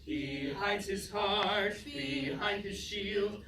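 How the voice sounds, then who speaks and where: A woman performing a solemn Greek tragedy chorus, singing verse as a slow ballad in a staged reading. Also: mid-range voice, big pitch swings, over music. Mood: solemn, mournful. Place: indoor staged reading, mic at a distance. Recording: echoey room, distant mic.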